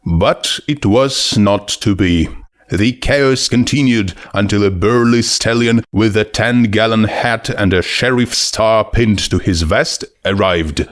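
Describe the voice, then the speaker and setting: Male audiobook narrator, lowish voice, very unhurried, deliberate delivery of comic fiction. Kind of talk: scripted story narration.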